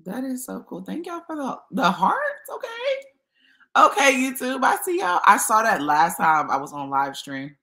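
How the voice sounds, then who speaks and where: A woman hosting a casual livestream, chatty and expressive, talking to her viewers as y'all. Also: mid-range voice, moderate pace. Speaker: YouTube livestream host.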